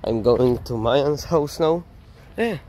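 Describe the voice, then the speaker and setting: A man's voice: medium pitch, takes it slowly, mellow, slight background noise. A man with an Israeli accent vlogging casually while walking outdoors, rambling and slangy, filming on a phone. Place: outdoors on city streets.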